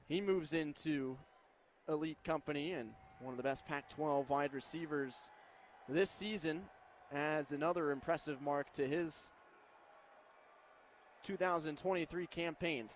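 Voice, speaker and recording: An American man with a fairly high-pitched voice works as a radio play-by-play announcer, describing football action live. His commentary comes over light background noise from the stadium.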